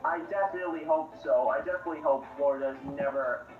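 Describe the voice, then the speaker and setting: Call-in co-host, man, slightly high voice, halting filler-laden delivery, phone-thin roomy audio. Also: faint music behind. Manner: hesitant, filler-heavy, blunt opinions. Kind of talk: casual chat. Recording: tinny echoey call audio from afar.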